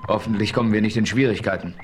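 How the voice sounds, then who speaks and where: Ein Mann spielt einen ruhigen, tiefstimmigen Westmann. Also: male voice, on the low side, faint music behind, close to an everyday voice. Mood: calm, resolute. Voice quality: measured, composed delivery. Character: steady heroic male frontiersman, calm narrator. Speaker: first-person narrator and hero. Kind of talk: 1970s German radio play acting.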